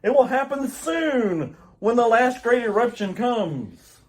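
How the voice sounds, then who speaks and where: A woman's voice: on the high side, lively intonation, takes it slowly. A woman playing a grave male scientist who warns urgently of doom in an amateur old-time radio drama. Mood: alarmed. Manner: solemn, urgent, declamatory.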